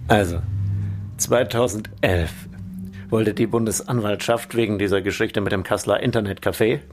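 An actor playing a smug, condescending senior intelligence official in a radio play, patronising and mocking. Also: male voice, on the low side, steady tone, normal speed, soft background music, lightly acted.

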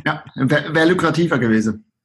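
A man's voice: on the high side, brisk, husky. A man from the southern Palatinate with a Palatinate German accent, a podcast guest chatting casually and hesitantly with frequent fillers. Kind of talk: casual interview chat.

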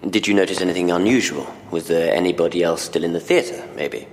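Low-voiced, persistent police investigator. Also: male voice, quick pace. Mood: probing. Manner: probing, restrained. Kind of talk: full-cast audio drama acting. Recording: reverberant hall with faint background noise.

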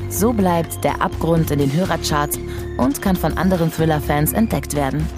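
Female podcast announcer, scripted delivery, slightly low voice, clear music underneath. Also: moderate pace. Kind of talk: scripted outro narration.

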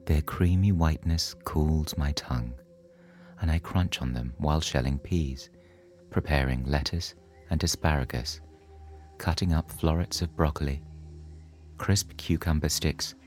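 A man narrating a scripted bedtime story in a soothing, measured manner. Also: rumbling low, fairly even intonation, a little mellow, soft background music.

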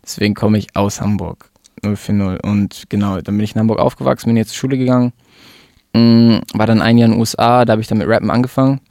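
A man in his twenties talks about his life in an easy, rambling way, with plenty of fillers and restarts. His voice sits low and barely moves in pitch. The sound is close and dry. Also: fairly even intonation, quick pace, a little mellow, no room sound. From Germany. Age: 20s. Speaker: rapper guest. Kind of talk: casual interview chat.

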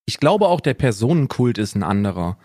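A man's voice: mid-range voice, sing-song, brisk, darkish tone, slightly rough, dry recording, clean studio sound. A man co-hosting a podcast in casual chat, a slangy and sardonic storyteller. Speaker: podcast co-host.

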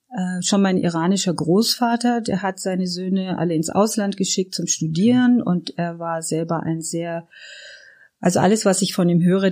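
A woman's voice: on the low side, darkish tone. A middle-aged woman from Iran reminiscing casually as an interview guest, reflective and often searching for her words.

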